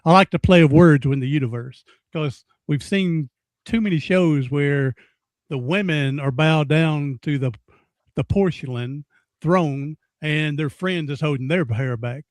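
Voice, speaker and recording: A man's voice: on the high side, measured pace, dry recording. A man in his sixties hosting a podcast interview, folksy and rambling, often repeating himself. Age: 60s. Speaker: podcast host. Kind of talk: casual interview chat.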